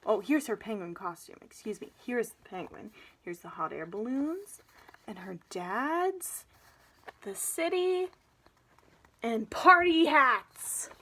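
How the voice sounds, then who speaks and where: A young woman reads a picture book to children with chatty asides. Her voice is fairly high and very expressive, and she takes an unhurried pace. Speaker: storybook reader.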